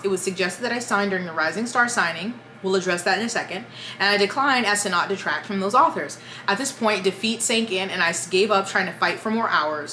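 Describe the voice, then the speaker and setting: A woman who hosts a book channel, reading a written statement aloud in a brisk, steady delivery. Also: mid-range voice, reverberant, a little hiss or hum.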